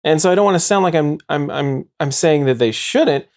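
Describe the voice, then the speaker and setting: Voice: mid-range voice, fairly quick, a little mellow. A host talking casually about books in an explanatory way, often rephrasing mid-thought. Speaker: show host. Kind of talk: casual book discussion.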